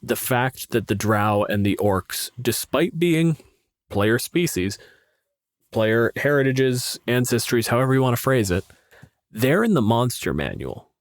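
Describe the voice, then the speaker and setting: A man's voice: mid-range voice, lively intonation, unhurried, dry recording. A man with a mid-range voice chats casually as a podcast co-host. He explains things wryly and swears freely. The sound is dry and close. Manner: wry, profane, explanatory. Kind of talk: casual chat.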